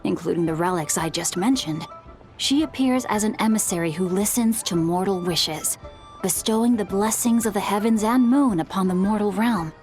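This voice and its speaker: A woman playing a bookish teenage boy in a game, articulate and measured as he explains. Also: mid-range voice, a little mellow, faint music behind, natural voice. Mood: scholarly.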